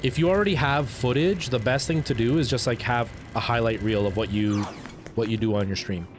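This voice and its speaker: A man's voice: mid-range voice, a little fast. A man in his thirties from El Salvador with a light Canadian accent, chatting casually with viewers on a live stream, rambling with many restarts. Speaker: livestreamer. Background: faint music.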